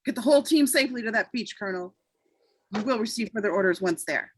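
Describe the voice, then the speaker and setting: A woman's voice: medium pitch, expressive, fast, slight background noise, close to an everyday voice. A woman playing a stern, commanding female government boss with a curt manner in an amateur table read.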